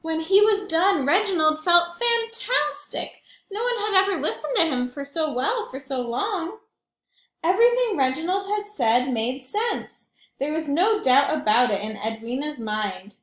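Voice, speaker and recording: A woman's voice: extremely high pitch, expressive, measured pace, a bit bright, roomy sound. A woman reading picture books aloud to young children, expressively and with questions for her listeners. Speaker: children's read-aloud host, teacher or librarian.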